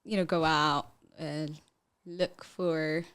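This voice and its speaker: A woman's voice: low-pitched, fairly even intonation, takes it slowly, a bit bright, clear, resonant tone. A young to thirties woman from Ethiopia, chatting casually as an interview guest and often breaking into laughter. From Ethiopia, later the Netherlands. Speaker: podcast guest.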